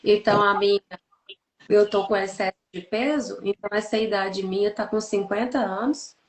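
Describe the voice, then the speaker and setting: A woman's voice: mid-range voice, steady tone, moderate pace. A Brazilian woman in her thirties explaining things didactically in a podcast conversation, listing and repeating points for emphasis. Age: 30s. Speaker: therapist, podcast host. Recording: echoey, roomy sound.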